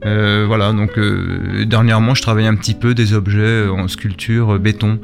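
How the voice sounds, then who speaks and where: A man's voice: fairly low pitch, flat delivery, normal speed, gravelly. A middle-aged man being interviewed in a radio studio, talking conversationally and often repeating words as he searches for his phrasing. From Spain and Belgium, lived in France. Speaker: interview guest, shop and gallery owner, artist. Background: guitar music playing behind the voice.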